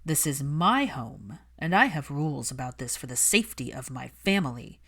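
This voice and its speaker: A woman voicing a cold, controlling young father in an audiobook-style story, stern, clipped and deliberate. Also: very deep voice, sing-song, fairly bright tone, slightly rough, heavily acted.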